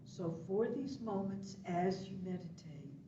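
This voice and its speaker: A woman instructor leading a guided meditation, giving instructions in short phrases with long pauses between them. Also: on the low side, lively intonation. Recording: roomy, distant, dull, faintly noisy.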